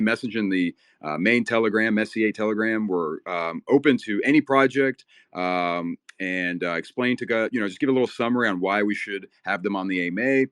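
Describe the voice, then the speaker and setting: A man's voice: lowish voice, fairly bright tone, dry recording, clean studio sound. A man born in Ukraine, a native Russian speaker, hosting a casual live audio chat in a rambling, filler-heavy, upbeat way, a little nervous. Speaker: host of a crypto talk space.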